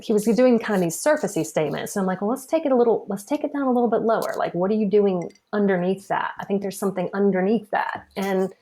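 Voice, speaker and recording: A woman's voice: medium pitch, fast talker, hardly any pauses, dark tone. A woman guest on a podcast, talking casually about her marketing work, chatty, laughing often and restarting her phrases. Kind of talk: casual interview conversation. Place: podcast recording.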